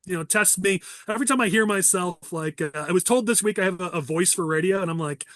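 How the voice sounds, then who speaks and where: Fast-talking nasal man hosting a podcast. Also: high-pitched, fairly bright tone, no room sound, clean studio sound. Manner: teasing, fast and rambling. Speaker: podcast host. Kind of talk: casual political podcast chat.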